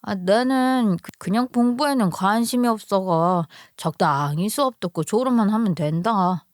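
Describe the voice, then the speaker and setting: Woman playing a quiet, hesitant country teen. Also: medium pitch, no room sound, studio quality, natural voice. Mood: shy. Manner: slow, hesitant. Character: shy country teenage girl, quiet and withdrawn.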